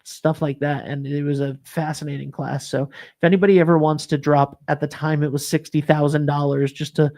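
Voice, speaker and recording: A man's voice: on the high side, flat delivery, fairly quick, hardly any pauses, darkish tone. A man hosting a casual morning talk show, rambling with plenty of fillers and restarts. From Rhode Island. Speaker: show host. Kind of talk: casual chat.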